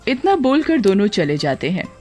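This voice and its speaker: A woman's voice: mid-range voice, sing-song, moderate pace, a little mellow. A woman giving scripted narration for a cartoon family story. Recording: background music.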